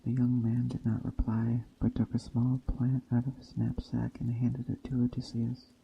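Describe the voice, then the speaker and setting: A man softly reading a story in a hushed, gentle ASMR storytelling style. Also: medium pitch, monotone, moderate pace, creaky, lo-fi sound, slight background noise. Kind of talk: soft-spoken ASMR reading of a Greek myth tale. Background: soft nature ambience.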